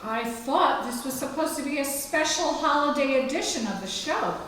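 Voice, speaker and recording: Woman playing vain high-pitched faded starlet. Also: expressive, normal speed, fairly bright tone, slight background noise. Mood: self-important. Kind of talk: live old-time radio comedy acting. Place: live stage show in a library. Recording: echoey hall from far off.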